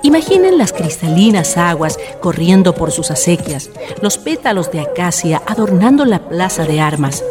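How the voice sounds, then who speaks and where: A woman's voice: fairly low pitch, sing-song, normal speed, hardly any pauses. A woman narrating a scripted radio drama in a lyrical, formal storytelling manner. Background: prominent music with guitar.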